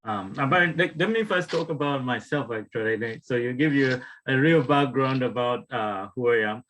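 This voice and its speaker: A man in his thirties with a Ugandan accent and a medium-pitched voice. He tells his personal story informally, as a panelist would. His delivery is halting, with frequent restarts. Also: fairly bright tone, rough recording. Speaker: wellness tonic maker, guest panelist.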